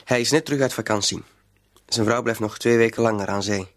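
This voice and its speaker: An actor playing a shady small-time crook in a radio play, hesitant yet smooth-talking and insinuating, coaxing with a quiet threat underneath. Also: male voice, fairly low pitch, a little fast, natural voice. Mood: coaxing, scheming. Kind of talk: Dutch-language radio play acting.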